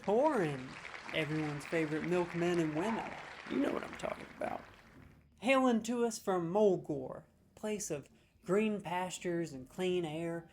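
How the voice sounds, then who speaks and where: A man's voice: high voice, animated, unhurried, a little hiss or hum. A man in a comedic role, scoring lines with sarcastic, mock-serious, playfully exaggerated commentary.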